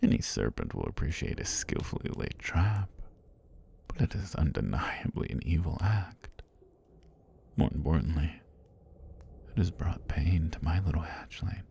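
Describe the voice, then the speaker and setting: A man voicing an ancient serpent god, calm, predatory yet tender, in a slow, formal, whispery ASMR roleplay with a husky, breathy low voice. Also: slight background noise, exaggerated voice. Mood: amused, tender. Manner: slow, deliberate, formal speech with whispery delivery. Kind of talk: intimate ASMR roleplay monologue.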